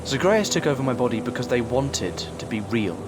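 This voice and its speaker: A man's voice: medium pitch, animated, brisk, noisy background, lightly acted. A man playing a brooding, analytical time-travelling alien in an audio drama, explaining things in a formal, commanding way. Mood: explanatory.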